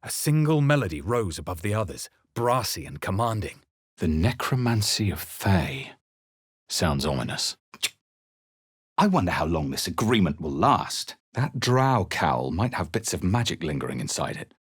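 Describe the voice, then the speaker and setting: A man voicing a wary, brooding adventurer hero in a fantasy video game, narrating in the first person with dramatic, measured intensity. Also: sits low, sing-song, breathy edge, dry recording, clean studio sound, heavily acted. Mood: grave, brooding. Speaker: video game player character voice.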